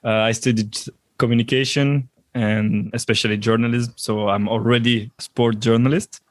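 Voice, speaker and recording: A man's voice: mid-range voice, flat delivery, moderate pace, no echo. A man from Belgium, speaking in a casual interview as a podcast guest. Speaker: podcast guest, sports journalist and teacher.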